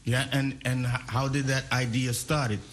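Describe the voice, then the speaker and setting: Man, interview host, casual chat, rambling questions, restarts a lot, mid-pitched voice. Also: little pitch movement, moderate pace, a bit bright.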